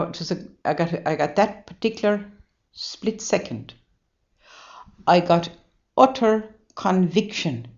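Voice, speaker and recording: An older Irish woman, a nun, recalling her life in an interview, earnest and halting with many restarts. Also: deep voice, a little mellow. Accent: Irish, Waterford. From Ireland. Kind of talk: interview reminiscence. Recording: dull lo-fi recording.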